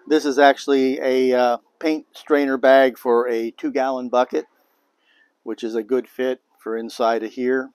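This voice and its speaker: Relaxed older man demonstrating outdoors. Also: measured pace, smooth, no echo. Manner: relaxed, thinking aloud, low-key. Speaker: beekeeping video host. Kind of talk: casual hands-on demonstration. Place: outdoor patio by a pool.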